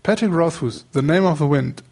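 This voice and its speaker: Relaxed man podcast host, teasing, gravelly, husky. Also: fairly high pitch, normal speed. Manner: relaxed, slangy, playfully teasing. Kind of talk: casual chat.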